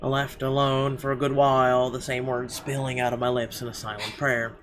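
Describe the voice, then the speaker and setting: A man's voice: mid-range voice, flat delivery, normal speed, noisy background. A man reading visual novel narration aloud as a let's player. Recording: game music behind the voice. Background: game music.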